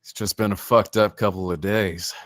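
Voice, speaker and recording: A man's voice: on the low side, steady tone, normal speed, no room sound, lightly acted. A man acting a shaken, guarded survivor in a film script read, speaking tersely and hesitantly. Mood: shaken, subdued. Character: Damien, shaken, guarded male survivor of an attack.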